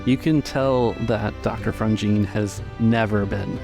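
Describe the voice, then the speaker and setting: A man's voice: lowish voice, fairly even intonation, measured pace, creaky, music in the background. A man acting as game master, casually narrating and refereeing a tabletop roleplaying game.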